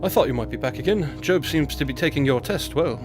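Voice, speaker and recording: A calm heavenly being in a biblical radio drama, played by a man. The voice is on the higher side, formal and firm with measured authority. Faint music sits underneath. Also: close to an everyday voice.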